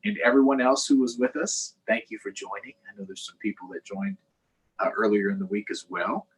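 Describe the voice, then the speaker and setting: A man hosting a storytime for children, reading aloud and chatting directly to young viewers in an expressive way. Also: lowish voice, fairly quick, husky, poor audio. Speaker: storytime host, councilmember. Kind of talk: children's read-aloud and live chat.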